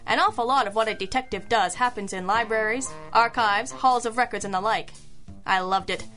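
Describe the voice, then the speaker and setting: A sassy, self-assured female private eye from a radio detective drama. Her voice is bright and fairly high, with a dry, wisecracking delivery over clear background music. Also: brisk. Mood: wry, brisk. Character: wry, self-assured girl detective in a hard-boiled mystery. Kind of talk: old-school radio detective narration. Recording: music under the voice.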